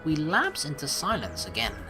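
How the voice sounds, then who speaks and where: A woman hosting a let's play, reading game narration and dialogue aloud with playful, expressive commentary. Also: low-pitched, moderate pace, poor audio. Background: game music.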